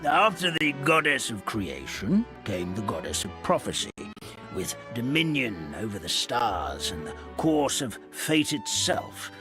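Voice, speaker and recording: A man's voice: mid-range voice, very animated, unhurried, over music. An actor playing a chubby, forgetful old male paper hamster who chuckles and sighs as he rambles through the forest's history. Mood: storytelling. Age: older. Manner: doddering, chuckling, sighing storyteller.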